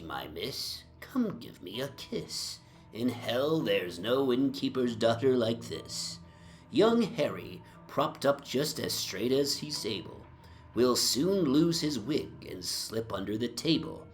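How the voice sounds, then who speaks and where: A man voicing a tipsy, jovial Georgian tavern reveler, declaiming bawdy drinking verse with theatrical swagger in a dramatic audio reading. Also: medium pitch. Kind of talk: theatrical rhymed drinking-song recitation.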